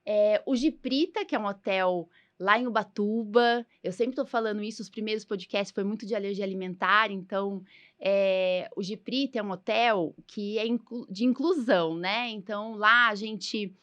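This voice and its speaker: Woman, 40s, from Brazil, podcast host, chatty, filler-heavy, mid pitch. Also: normal speed, a bit bright. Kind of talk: casual podcast chat.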